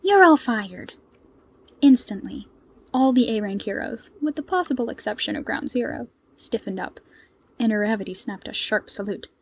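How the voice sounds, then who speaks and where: A woman's voice: mid-range voice, moderate pace, creaky, darkish tone, slightly rough. A woman reading scripted fiction as the narrator of an audio story. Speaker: podfic narrator.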